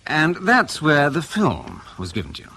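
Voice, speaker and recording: An actor playing a sceptical, supercilious British intelligence interrogator in a radio drama, clipped, sardonic and needling. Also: male voice, a little high, huge pitch swings, moderate pace, pushed and bright, slightly rough, exaggerated voice. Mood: sceptical, probing.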